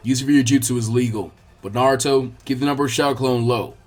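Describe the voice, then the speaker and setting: A man voicing a calm, authoritative adult male ninja teacher with a measured delivery in a story reading. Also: medium pitch, reverberant, faint music behind, voice pushed down, natural voice. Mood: commanding.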